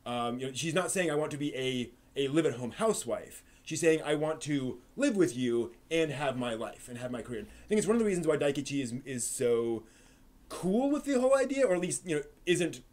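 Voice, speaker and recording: A man's voice: a little high, expressive, a little fast, darkish tone. A man hosting a live stream, talking through his thoughts casually with many restarts and fillers. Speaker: host of an anime live stream. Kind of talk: casual discussion answering chat.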